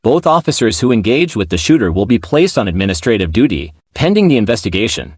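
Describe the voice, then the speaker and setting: A man's voice: lowish voice, sing-song, fast talker, no room sound, clean studio sound. A man reading a scripted news report as a narrator.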